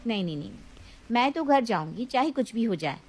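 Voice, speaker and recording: A woman's voice: on the high side, lively intonation, a little fast, darkish tone, slightly rough. A woman voicing a headstrong, demanding young married woman in a dramatized story, with a whiny, insistent delivery. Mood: stubborn, sulky. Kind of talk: dramatized moral story voice acting.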